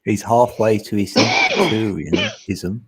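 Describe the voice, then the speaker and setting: Slow husky-voiced man near fifty. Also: on the low side, wildly swooping pitch. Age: 40s. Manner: laid-back, chuckling, easygoing. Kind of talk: casual live chat.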